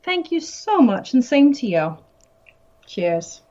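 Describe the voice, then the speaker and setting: A woman's voice: high voice, sing-song, slow pace, darkish tone, a little hiss or hum. Chatty woman guest laughing a lot, kinda muffled lo-fi sound. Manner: chatty, laughs often, many restarts. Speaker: guest, musician and actress. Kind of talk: casual interview chat.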